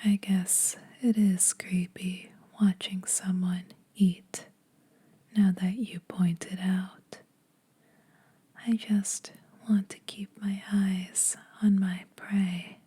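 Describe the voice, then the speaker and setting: A woman voicing a teasing, predatory yet protective female vampire in a breathy whispered ASMR roleplay, speaking slowly and a little formally. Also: medium pitch, flat delivery, dark tone. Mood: dry teasing. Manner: slow, deliberate, faintly formal teasing.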